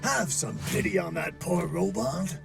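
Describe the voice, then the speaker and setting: A man's voice: fairly high pitch, expressive, vocal fry, full character voice. A man voicing a villainous robot puppeteer in a cartoon, a folksy showman who draws out his words dramatically. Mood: folksy, sly. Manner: dramatic, drawn-out showman delivery. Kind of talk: cartoon voice acting, storytelling. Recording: loud music behind.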